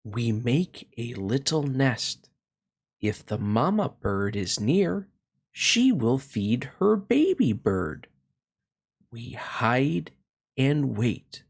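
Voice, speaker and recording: A man hosting a read-along, reading a children's picture book aloud in a slow, expressive way and then chatting about it. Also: creaky, husky. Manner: slow, expressive storytelling with swooping pitch.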